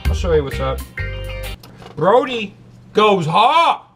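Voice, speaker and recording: A man's voice: sits high, very animated, takes it slowly, faint music behind. Brash young man hosting a cooking show. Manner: brash, boastful, loud whoops. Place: small apartment kitchen.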